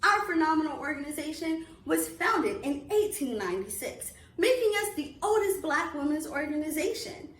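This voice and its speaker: A woman's voice: sits high, very animated, normal speed, few pauses, roomy sound, rough recording. A woman hosting an online reading series interview, upbeat and welcoming as she introduces a guest. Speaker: club president and host.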